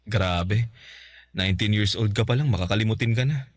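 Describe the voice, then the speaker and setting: A man's voice: lowish voice, normal speed, dry recording, studio quality, close to an everyday voice. An actor with a Filipino accent playing an earnest, protective young man smitten in a radio drama. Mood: teasing, encouraging.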